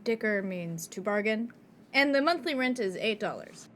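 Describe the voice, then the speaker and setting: A woman's voice: medium pitch, lively intonation, normal speed, fairly bright tone, a little hiss or hum, natural voice. A woman playing a prim young landlady, dry and dismissive, in a comedy radio play. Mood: brisk, curt. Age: young adult.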